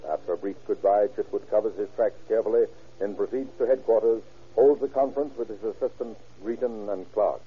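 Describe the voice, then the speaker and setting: A man narrating a crime story in a measured, grave, old-time radio drama style. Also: lowish voice, slightly rough. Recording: tinny, noisy vintage radio recording.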